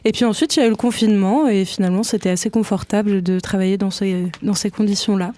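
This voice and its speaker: A woman's voice: medium pitch, fairly even intonation, quick pace, dark tone. A woman musician chatting hesitantly in a radio studio interview, with many fillers and restarts. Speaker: musician guest. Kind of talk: casual interview chat. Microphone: studio microphone.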